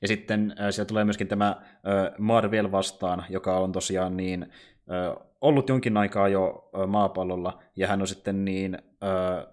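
Deep-voiced man recapping films, somewhat halting. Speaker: podcast co-host. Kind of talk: casual film discussion and plot recap.

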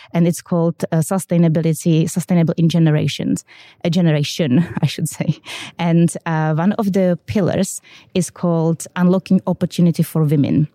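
Low-voiced Czech woman, dry sound. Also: warm tone, dry recording. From Czech Republic. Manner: hesitant, many fillers, upbeat. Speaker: guest, quality systems manager. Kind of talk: podcast interview.

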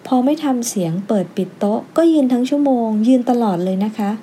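A woman's voice: fairly high pitch, a little fast, dark tone, a little hiss or hum, lightly acted. A woman voicing an exasperated, indignant female primary school teacher in a storybook, complaining in an emphatic but formally polite way.

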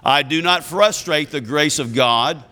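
A man, a visiting preacher whose voice is scratchy from illness, preaching in a folksy way and calling out amen to the congregation. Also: sharp tone. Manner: reads scripture slowly with rising pitch and emphasis. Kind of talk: sermon.

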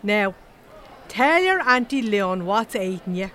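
An actress playing a folksy, coaxing Irish auntie in a comedy audio drama. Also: female voice, medium pitch, very animated, slow pace, over loud music. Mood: chummy, coaxing. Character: chummy fussing auntie recruiting a girl to her club.

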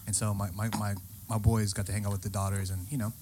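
A man's voice: fairly low pitch, flat delivery, quick pace, a little mellow, a little hiss or hum. A man in his thirties co-hosting a casual podcast, rambling and swearing with plenty of fillers. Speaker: podcast co-host.

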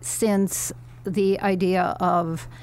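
Woman host, lowish voice, measured and inquisitive. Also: fairly even intonation, a little mellow, clean tone. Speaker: podcast host. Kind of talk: interview questions.

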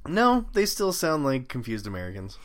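A man co-hosts a casual podcast, chiming in with sarcastic quips. His voice swings widely in pitch as he jokes along. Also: huge pitch swings, moderate pace. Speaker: podcast co-host.

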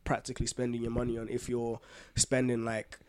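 A man with a London accent and a medium-pitched voice chats as a podcast co-host. His delivery is informal and full of slang, like someone telling personal stories to friends. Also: completely flat delivery, brisk, smooth. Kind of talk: casual chat, personal anecdotes.

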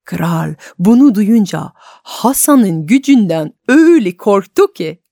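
A woman storyteller narrating a folk tale expressively for adult radio listeners, with dramatic, deliberate phrasing and pauses. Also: mid-range voice, very animated, vocal fry, warm tone, no room sound, clean studio sound.

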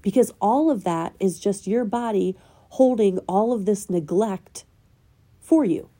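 Female podcast host, slightly nasal from a cold, very dark mellow tone, earnest self-help monologue. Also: mid-range voice, a little slow. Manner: earnest, probing rhetorical questions. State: getting over a cold.

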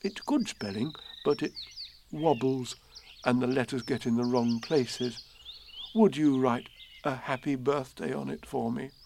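A man's voice: medium pitch, fairly even intonation, unhurried, creaky, darkish tone. Guy doing a simple humble little bear from a kids book, earnest, faint background noise. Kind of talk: children's storybook character voice.

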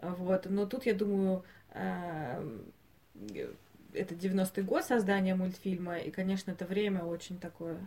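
Low-pitched woman in casual discussion. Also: steady tone, moderate pace, dark tone. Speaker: podcast co-host. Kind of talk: casual discussion of animated films.

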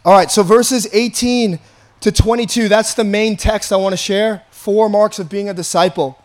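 A pastor with a raspy, slightly hoarse voice, preaching an earnest, conversational sermon and often repeating himself. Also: male voice, high-pitched, fairly even intonation, moderate pace, darkish tone.

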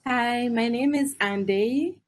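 A young woman from Barbados gives a steady, clear self-introduction as a student. A faint hiss sits under her voice on the recording. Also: on the high side, takes it slowly. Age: young adult. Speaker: student and peer guide.

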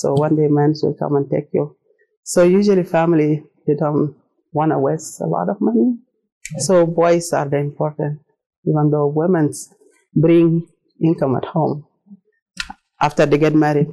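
South Sudanese-accented woman with a mellow voice. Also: low voice, gravelly, rough recording. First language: Arabic and Dinka. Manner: plain, earnest, non-native English phrasing. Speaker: speaker telling her own story. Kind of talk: personal presentation to listeners.

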